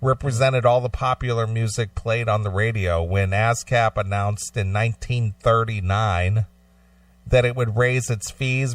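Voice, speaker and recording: Low-voiced man, slightly distant and dry. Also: no echo. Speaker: talk show co-host. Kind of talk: casual discussion and reading a news article aloud.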